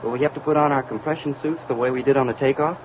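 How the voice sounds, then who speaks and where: A man's voice: steady tone, rapid-fire, close to an everyday voice. Actor as earnest young crewman, noisy old radio. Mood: hesitant, earnest. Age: young adult. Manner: hesitant, respectful, earnest. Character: young, earnest, deferential physicist and rocket radio operator. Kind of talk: vintage American radio drama acting. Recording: muffled vintage radio recording, loud hiss, rumble.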